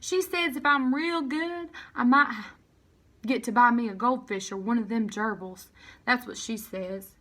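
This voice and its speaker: A woman's voice: fairly high pitch, measured pace, fairly bright tone. A woman voicing an eager, chatty little girl in a storybook, with childish country phrasing.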